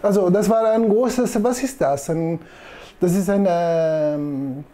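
A man in his forties with an Italian accent, a native Italian speaker, giving a casual, halting, self-deprecating demonstration in a gravelly voice. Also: high-pitched, warm tone. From Italy. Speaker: presenter demonstrating espresso machines. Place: small indoor coffee showroom. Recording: noticeable background room noise.

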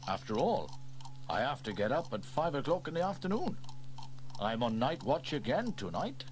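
Cheerful storybook fireman, brisk and bright, lively swooping delivery, a man putting him on. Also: medium pitch, lightly acted. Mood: matter-of-fact. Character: cheerful male fireman, adult, upbeat. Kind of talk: audiobook character voice.

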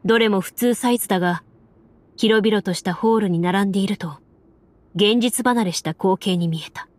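A woman narrating a story as a game master in a measured, formal manner, like scripted game voice acting. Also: medium pitch, dry recording. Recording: soft music under the voice. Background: background music.

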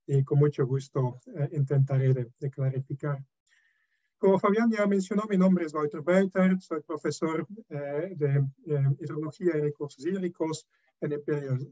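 A man's voice: fairly high pitch, darkish tone. A man in his thirties, a non-native speaker, giving an academic lecture over a video call with many hesitations and restarts. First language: not Spanish. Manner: hesitant, frequent fillers and restarts. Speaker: guest lecturer. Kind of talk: academic webinar talk. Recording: dull lo-fi video call audio.

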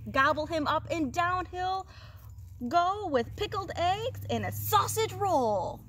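A woman voicing a grumpy, greedy male troll in a storybook, with a growly, booming, drawn-out delivery. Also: a bit bright, heavily acted. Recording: noisy outdoor recording.

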